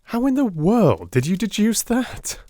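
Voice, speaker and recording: A man's voice: sits high, huge pitch swings, unhurried, mellow, no room sound, clean studio sound, pitched above the performer's own voice, heavily acted. A man playing a detective's earnest, easily astonished companion in a dramatised audiobook, speaking in an exclamatory manner. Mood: astonished, curious. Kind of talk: dramatised audiobook character acting.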